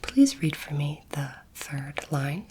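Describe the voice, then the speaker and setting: A woman whispers gently and breathily, playing a polite alien space doctor in an ASMR exam. Her delivery is measured, reassuring and very level in tone. The recording carries clear background noise. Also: low voice, very animated. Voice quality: breathy, mostly unvoiced whisper. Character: courteous, curious alien space doctor examining a human. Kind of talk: whispered ASMR medical roleplay. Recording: noisy close recording, clicking sounds.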